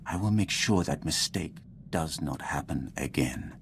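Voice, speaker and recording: A man playing a proud, stern Sith lord in a video game, speaking in a formal, grandiose and deliberate manner. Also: very deep voice, lively intonation, a little hiss or hum, heavily acted. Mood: ominous. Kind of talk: video game dialogue acting.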